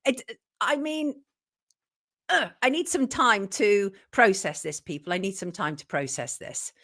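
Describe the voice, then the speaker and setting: A woman's voice: mid-range voice, measured pace, fairly bright tone. A woman hosting a live-stream talk show, chatty and effusive, reading viewer comments aloud. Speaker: host.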